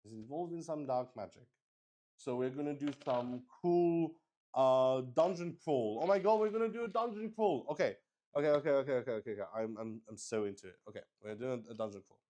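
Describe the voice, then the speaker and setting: A man hosting a solo tabletop roleplay game, improvising narration and thinking aloud with hesitant pauses. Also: mid-range voice, mellow.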